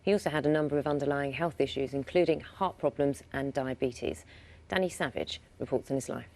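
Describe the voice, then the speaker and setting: A woman's voice: a bass voice, brisk, darkish tone. A woman with a British accent reading scripted news as a presenter.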